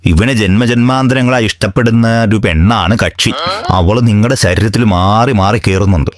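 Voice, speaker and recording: A man's voice: fairly low pitch, normal speed, crowd noise, close to an everyday voice. A man playing a shrewd, self-important village astrologer in a comedy cartoon, stern and pompous in his pronouncements. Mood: solemn pronouncing. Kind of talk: comedy cartoon skit dialogue.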